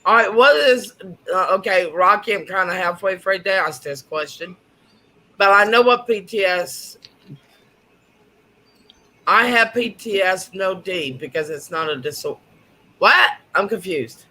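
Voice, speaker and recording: A man's voice: sits high, unhurried, pauses often, bright, forceful tone, poor audio. A man co-hosting a casual chat, earnest and folksy. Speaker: co-host.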